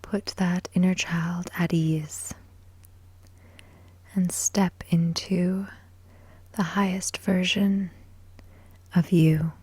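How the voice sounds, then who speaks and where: A woman leads a guided meditation in a soft, whispery ASMR voice. Her tone is dark and mellow, barely rising or falling. She moves in short, soothing phrases with long gaps, recorded close and dry. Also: on the low side, little pitch movement, extremely slow, long pauses, breathy edge, dry recording. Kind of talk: slow guided sleep hypnosis, ASMR.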